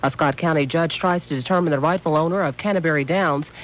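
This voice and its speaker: A woman's voice: sits low, fast, low-quality recording. A woman with an American accent anchoring a formal, scripted radio newscast.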